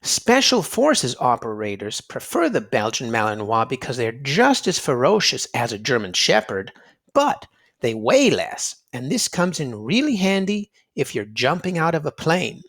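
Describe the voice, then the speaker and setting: A man's voice: medium pitch, very animated, a little slow, a bit bright. A man reading a children's nonfiction storybook aloud, explaining emphatically to young listeners. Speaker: children's read-aloud narrator.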